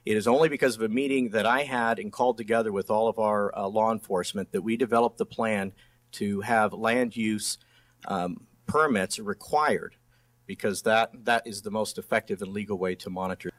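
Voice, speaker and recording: A man's voice: on the low side, moderate pace. Middle-aged man in a political debate. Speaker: district attorney speaking as a debate candidate.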